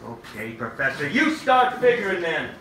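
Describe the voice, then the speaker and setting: A man's voice: on the high side, very animated, moderate pace, pushed and bright. A man acting a brash, folksy, skeptical fellow in a stage version of a radio play, speaking in exclamatory, colloquial turns. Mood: tired, grumbling. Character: brash, folksy, skeptical young workman living with mama. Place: theatre stage, picked up from afar. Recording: echoey, distant stage recording with faint noise.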